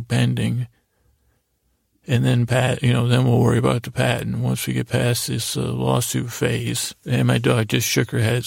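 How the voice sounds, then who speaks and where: Male sleep-podcast host, heavy vocal fry, whimsical and hesitant, wandering sentences, close dry sound over soft music. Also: mid-range voice, dry recording. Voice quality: creaky, gravelly. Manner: meandering, hesitant, halting, trailing off; lighthearted, whimsical. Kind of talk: rambling bedtime monologue to help listeners sleep.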